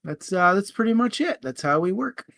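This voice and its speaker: Higher-voiced man hosting, close dry sound. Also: animated, normal speed, fairly bright tone, no echo. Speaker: podcast host. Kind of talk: casual book discussion.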